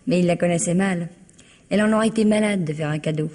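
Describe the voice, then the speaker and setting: An actress playing a cheeky, chatty twenty-two-year-old housemaid, defensive and plain-spoken, in an old French radio mystery. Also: female voice, on the low side, fast, a little mellow, lightly acted. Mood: frank, gossipy. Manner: colloquial, chatty, naive frankness.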